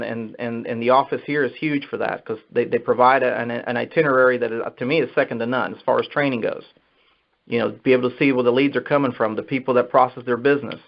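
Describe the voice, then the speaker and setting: A man's voice: mid-range voice, gravelly. Man giving motivational business advice, dull recording. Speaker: sales manager giving advice. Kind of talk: motivational business talk on a conference call. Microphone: telephone conference line. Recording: dull, muffled conference phone call.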